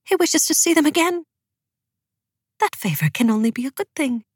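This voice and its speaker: A woman voicing a gentle, protective middle-aged mother in an audiobook, with a soft, earnest lilt. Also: high voice, lively intonation, normal speed, no echo, clean studio sound, voice pushed up. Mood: hopeful.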